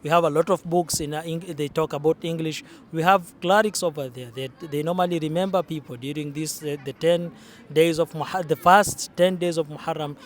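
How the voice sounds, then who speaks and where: A man's voice: on the high side, steady tone, brisk. A young man from Kenya, interviewed outdoors at a shrine, explaining his practices earnestly with frequent restarts. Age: young adult. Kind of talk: casual interview.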